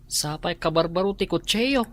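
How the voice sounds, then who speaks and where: A woman's voice: on the low side, lively intonation, normal speed, lightly acted. A woman with a Filipino accent playing a deferential employee in an Ilocano radio drama. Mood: worried.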